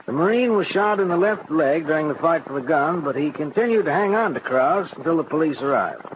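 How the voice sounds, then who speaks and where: A man plays a grave, authoritative crime narrator in scripted vintage radio style. His voice is a bit high, on a muffled broadcast with clear background noise. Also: animated, a little fast, natural voice. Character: grave, authoritative male official recounting crime cases.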